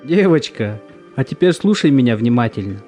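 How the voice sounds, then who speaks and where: An actor playing a gruff, weary military recruitment commander in an audio drama, curt and stern as he questions young volunteers. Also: medium pitch, sing-song, normal speed, dark tone, lightly acted. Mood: testing, lecturing. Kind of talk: stage-style audio drama acting. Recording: music under the voice.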